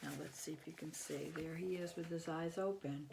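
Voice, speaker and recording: A woman's voice: low voice, fairly even intonation, normal speed, vocal fry, darkish tone, slight background noise. An American woman in her fifties reads a picture book aloud to young children, expressively, with simple explanations and questions to the listener. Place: small bedroom at home, indoors.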